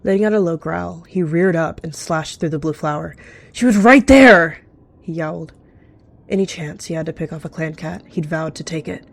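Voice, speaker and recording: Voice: medium pitch, fairly even intonation, brisk, vocal fry, few pauses, darkish tone, dry recording. A roleplayer narrating a story for a game in the third person.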